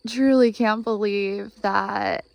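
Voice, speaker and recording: A woman in her thirties leading a guided meditation outdoors, speaking gently and earnestly with long pauses. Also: medium pitch, steady tone, gravelly, no echo. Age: 30s. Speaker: guided meditation host, healer. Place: outdoors in the woods by a spring.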